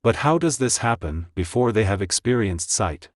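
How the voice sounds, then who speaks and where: A man's voice: fairly low pitch, lively intonation, moderate pace, no echo, studio quality. A man narrating a prepared text, reading it aloud. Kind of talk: scripted read-aloud narration.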